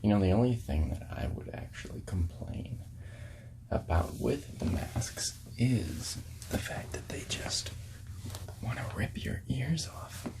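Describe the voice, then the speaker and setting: A man acts out a laid-back hippie record shop clerk for an ASMR role-play. His low-key delivery slips between soft speech and whispers, with a gravelly fry underneath. He is friendly and polite, chatting casually and a little hesitantly. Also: animated, slow pace, full character voice, low-quality recording. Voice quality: soft, breathy whispering, creaky.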